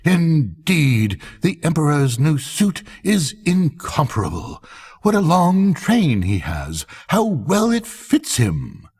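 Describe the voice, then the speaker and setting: A man putting on gushing, high-pitched flattering courtiers. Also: takes it slowly, slightly rough, exaggerated voice. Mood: awed admiration. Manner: exclamatory, effusive praise. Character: gushing flattering courtiers and crowd, loudly admiring.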